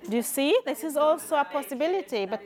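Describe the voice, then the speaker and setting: A woman with a Kenyan accent addresses a church conference crowd. Her voice sits high and swoops up and down with lively energy. She jokes and teases as she runs a word game. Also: quick pace. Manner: playful, laughs often, teasing the audience. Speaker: conference speaker. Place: church hall with live audience.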